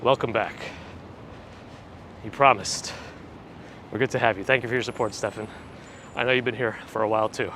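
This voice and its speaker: A man hosting a live walking stream, chatting casually and reading viewer comments with dry asides; his voice is a little phlegmy and gravelly. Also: on the low side, fairly even intonation, moderate pace, long pauses, bright, forceful tone. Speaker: livestream host. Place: walking outdoors on city streets. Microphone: handheld gimbal camera rig with recorder. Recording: street noise behind the voice. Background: traffic and city ambience.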